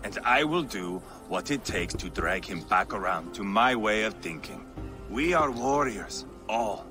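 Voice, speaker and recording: An actor voicing a blunt young viking warrior in a game cutscene, speaking firmly and earnestly. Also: male voice, lowish voice, animated, a little slow, over music. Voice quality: firm, earnest. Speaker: game character.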